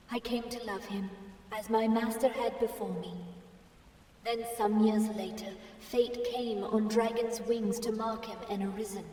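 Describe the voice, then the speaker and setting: An actress voicing a devoted female pawn warrior who recalls her master in a slow, solemn game lore monologue, with a distant, long echoing reverb. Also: medium pitch, mellow, close to an everyday voice. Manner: slow, solemn, measured narration.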